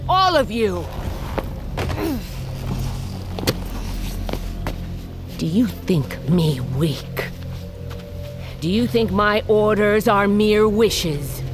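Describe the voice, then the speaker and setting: An imperious fantasy queen rules her tribe with a hard hand. Her mid-range voice declaims every order grandly, as in a cinematic audio drama, with dramatic music clearly behind it. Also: mid-range voice, sing-song, slow. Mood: commanding, contemptuous. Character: ruthless, commanding queen of a wolf tribe and stern mother.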